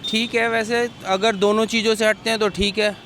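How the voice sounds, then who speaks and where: A man's voice: high voice, flat delivery, moderate pace, sharp tone. A man answering a reporter on the street, arguing his view point by point. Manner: argumentative, reasons point by point. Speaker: passerby giving opinion. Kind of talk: street interview. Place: outdoors by a busy street. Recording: faint traffic noise.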